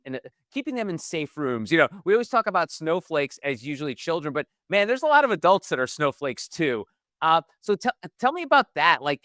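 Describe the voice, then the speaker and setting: A man's voice: fairly high pitch, a bit bright, no room sound, clean studio sound. A man hosting a podcast interview, chatty and jokey as he asks his questions. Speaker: podcast host. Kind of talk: interview, casual chat.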